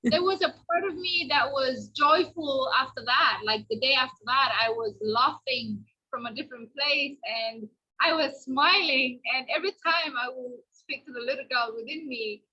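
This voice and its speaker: Guest: Somali-native woman, earnest and reflective, bright husky voice, dull remote-call audio. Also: high voice, normal speed, hardly any pauses. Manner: earnest, reflective, many restarts. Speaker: podcast guest. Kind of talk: casual podcast conversation. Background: washing machine noise.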